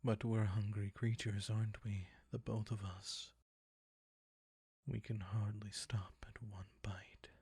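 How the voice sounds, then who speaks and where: Man acting a low-pitched seductive vampire count, very breathy and husky, predatory, possessive, silky teasing, intimate audio roleplay. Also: little pitch movement, darkish tone, dry recording, studio quality, heavily acted. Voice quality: husky, breathy, often near a whisper. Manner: slow, deliberate, silky teasing, commanding.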